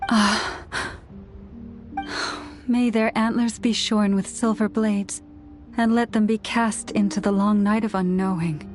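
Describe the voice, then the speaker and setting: Slow, solemn young priestess played by an actress. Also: female voice, medium pitch, steady tone, faint music behind, natural voice. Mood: grave, resolute. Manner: measured, formal, earnest. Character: solemn, gentle young woman, devout moon priestess of a clan. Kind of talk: video game character dialogue.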